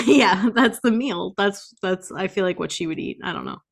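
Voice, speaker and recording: A woman's voice: medium pitch, expressive, normal speed, gravelly, slightly rough. Casual woman podcaster, thinking aloud. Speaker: podcast co-host. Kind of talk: casual chat.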